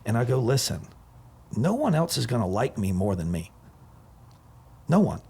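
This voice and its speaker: A man's voice: medium pitch, sing-song, moderate pace, creaky, dark tone, breathy edge. A man in his forties hosting a podcast, telling stories in an enthusiastic, rambling casual chat. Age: 40s. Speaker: podcast host.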